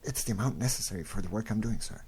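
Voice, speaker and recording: Bold gentleman adventurer, male actor: urbane, confident, determined, slightly low voice, comic-book drama. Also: racing, close to an everyday voice. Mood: confident urgency. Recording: clearly noisy recording.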